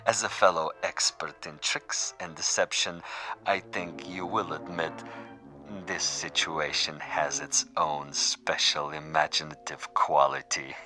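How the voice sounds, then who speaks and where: A man's voice: a bass voice, fairly even intonation, unhurried, sharp tone, exaggerated voice. An actor playing a cunning Soviet commissar in a radio drama, a pompous gamesman who speaks with taunting, theatrical precision. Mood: smug menace. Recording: music behind the voice.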